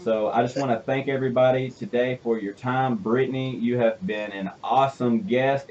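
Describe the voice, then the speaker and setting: Fast-talking Southern man in his twenties. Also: medium pitch, dull audio. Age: 20s. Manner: chatty, animated, thinks aloud with restarts. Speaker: host. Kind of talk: casual radio interview.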